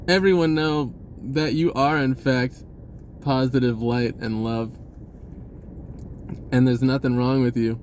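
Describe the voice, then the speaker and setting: A man's voice: medium pitch, fairly even intonation, slow pace, pauses often, darkish tone, slight background noise. A man giving a casual, rambling spiritual talk, restarting his sentences and chuckling now and then. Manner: rambling, laid-back, with frequent restarts and chuckles. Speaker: spiritual vlogger.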